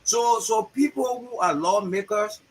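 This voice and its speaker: A man in his sixties with a Liberian accent, a playwright telling stories in an interview, animated and often repeating words. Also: high voice, unhurried, husky, poor audio. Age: 60s. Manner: animated, repeats words, acts out quoted voices. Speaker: guest, playwright. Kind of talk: storytelling interview talk.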